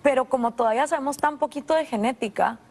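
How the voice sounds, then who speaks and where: A high-pitched woman in her thirties speaks with a Guatemalan Spanish accent. She tells her stories with animated asides, acting out what others said. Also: quick pace. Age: 30s. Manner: animated storytelling with voiced asides. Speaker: guest. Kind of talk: interview conversation.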